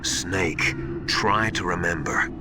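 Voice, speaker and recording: A man's voice: sits low, slow pace, heavy background noise. An actor playing an anxious, earnest man confiding secrets in video game dialogue, speaking hesitantly. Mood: worried, insistent. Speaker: supporting character in a stealth action game. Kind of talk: dramatic video game voice acting. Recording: loud music under the voice.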